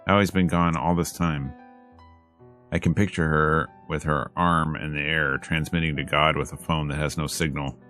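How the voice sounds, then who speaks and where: A man's voice: extremely low pitch, fairly even intonation, moderate pace, a bit bright. A man streaming a visual novel, reading it aloud with wry, casual and exasperated asides. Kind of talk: let's play commentary and dramatic read-aloud. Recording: music playing behind.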